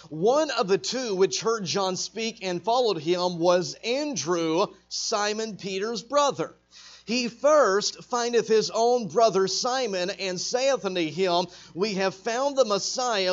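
A traveling evangelist with a high-pitched voice preaches from the pulpit. He climbs from friendly talk to loud, drawn-out, emphatic lines. The recording sounds dull and lo-fi, like a church tape. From Texas. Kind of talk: sermon. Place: church sanctuary.

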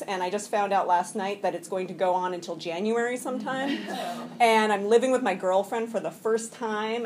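A woman's voice: mid-range voice, slight background noise. A woman around forty, slightly sniffly from a cold, giving a personal share at a recovery meeting in a room full of people. She stumbles through restarts and fillers and laughs often.

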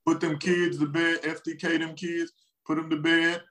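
A man's voice: fairly high pitch, flat delivery, sharp tone. A man whose first language is Spanish, joking around as a podcast guest and teasing his hosts. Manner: teasing, playful, quick comic asides.

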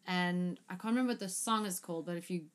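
A woman hosting a casual music chat, enthusiastic, with quick agreeing interjections and a habit of rambling. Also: on the low side.

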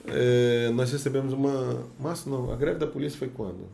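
A chef from Espírito Santo with a Brazilian Portuguese accent from that state, telling a story as a podcast guest and acting out the dialogue. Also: male voice, medium pitch, moderate pace, creaky, a little hiss or hum. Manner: lively storyteller who acts out the dialogue. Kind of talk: casual anecdote telling.

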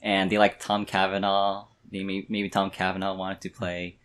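A man's voice: deep voice, monotone, normal speed, a bit bright. A man co-hosting a podcast, speaking casually and tentatively as he thinks things through. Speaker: podcast co-host. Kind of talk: casual fan discussion.

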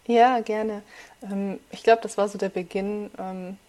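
A young woman in her twenties whose first language is Farsi, telling school stories as a podcast guest in a lively, casual way with many fillers. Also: medium pitch, unhurried. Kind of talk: casual storytelling.

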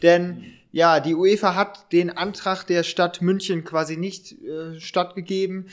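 A male podcast host with a high-pitched voice chats casually. He explains things haltingly, rephrasing as he goes, in an informal conversational style. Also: level tone. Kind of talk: casual podcast chat in German.

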